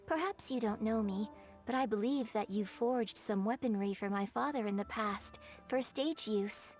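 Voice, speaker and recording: A woman plays a young opera performer in a video game, poised and courteous. Her voice is fairly high, and her phrasing is formal and refined. Soft music plays behind her. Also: normal speed, close to an everyday voice.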